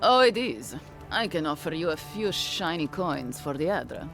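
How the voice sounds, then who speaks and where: A man's voice: on the high side, very animated, normal speed, creaky, fairly bright tone, soft background music, full character voice. A man playing a shifty, greedy video game trader, haggling slyly with an edge of menace. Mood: sly, appraising. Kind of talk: video game character acting.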